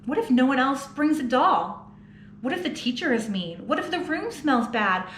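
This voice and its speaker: A woman's voice: sits high, very animated, moderate pace, a bit bright, a little hiss or hum. A woman reading aloud voices an anxious little girl mouse from a storybook, asking one worried question after another. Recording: roomy echo.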